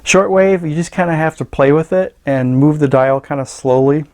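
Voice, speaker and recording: A man reviewing a radio gadget in a casual, halting, understated hands-on demo. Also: a little high, darkish tone.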